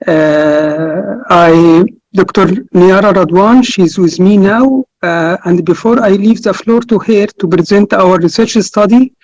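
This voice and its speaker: A man with an Egyptian accent introducing himself in a halting, hesitant way as an academic presenter at an online conference. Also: sits high, a little mellow, no room sound, poor audio. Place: virtual conference call.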